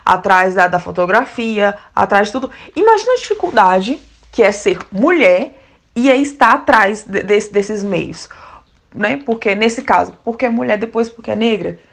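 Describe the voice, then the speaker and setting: A woman speaks in an informal discussion at an average pitch. She explains step by step and leans on repetition for emphasis. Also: animated, moderate pace, vocal fry.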